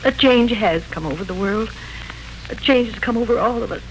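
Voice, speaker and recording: A woman's voice: fairly high pitch, lively intonation, normal speed, slight background noise, low-quality recording. An actress playing a weary, sorrowful aging mother who resists change, in 1940s radio drama style. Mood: sad, upset. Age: older.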